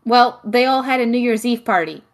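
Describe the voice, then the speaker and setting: A woman's voice: a little high, moderate pace, close to an everyday voice. A woman playing a dry, affectionately sarcastic young homebody, teasing her best friend in an audio drama. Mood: wry, teasing. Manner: wry, teasing.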